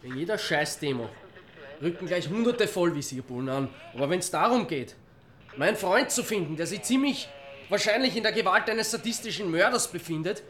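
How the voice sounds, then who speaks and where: A man's voice: high voice, animated, a little fast, fairly bright tone. An actor playing an agitated, sarcastic man, worried about his missing friend, in a radio crime drama. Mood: indignant, sarcastic. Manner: indignant, sardonic.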